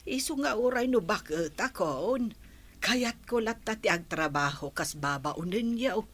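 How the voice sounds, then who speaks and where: A woman's voice: mid-range voice, expressive, a little slow, fairly bright tone. A woman playing a hardworking, respectful older housemaid and grandmother in an audio drama, speaking deferentially. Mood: earnest, respectful.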